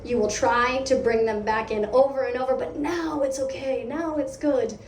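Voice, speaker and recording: Brief: American woman presenting at a meetup, fairly high voice, lively and chatty, echoey hall, distant mic. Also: moderate pace, a little hiss or hum. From United States. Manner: lively, conversational, asks the audience questions. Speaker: product lead giving a meetup talk. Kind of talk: live conference talk. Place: event hall.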